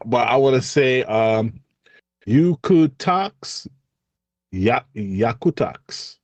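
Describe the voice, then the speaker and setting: Lively Haitian-accented man, low voice. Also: slightly rough, no room sound. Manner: lively, jumps high in pitch when excited, laughs often. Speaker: podcast co-host. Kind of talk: casual podcast chat.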